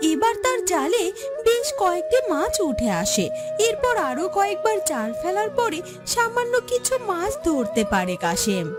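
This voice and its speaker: A woman's voice: very high-pitched, sing-song, moderate pace. A woman narrates a moral-story cartoon. Her delivery is scripted storytelling, and music sits prominently behind the voice. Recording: loud music bed behind the voice.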